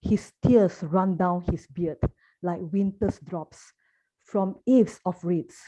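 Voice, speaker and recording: A woman's voice: lowish voice, slow pace, dark tone. A woman reading the part of an obedient, compassionate airy spirit, reciting verse deferentially over a dull online call.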